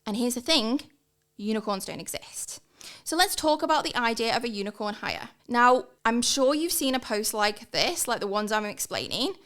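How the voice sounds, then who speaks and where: A woman in her thirties with an English accent, giving an energetic, emphatic podcast talk as a guest consultant. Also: on the high side, moderate pace. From England. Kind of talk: sponsored podcast monologue.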